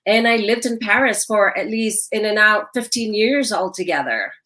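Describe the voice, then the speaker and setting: A woman's voice: mid-range voice, normal speed. Middle-aged woman from Singapore on a video call. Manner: animated, laughing, acts out quoted dialogue. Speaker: guest, personal stylist. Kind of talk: casual interview chat over video call. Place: home room with shelves, indoors.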